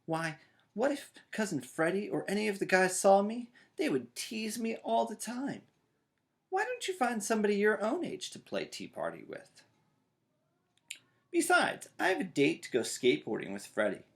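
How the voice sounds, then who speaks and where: A man's voice: high-pitched, sing-song, moderate pace, gravelly, fairly bright tone, voice pushed up, heavily acted. A man voicing a dismissive young boy bear, the older brother in a storybook.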